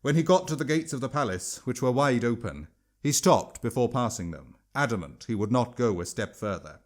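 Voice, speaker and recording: A man's voice: mid-range voice, animated, normal speed. A man narrating a written story as an audiobook-style reader and its author.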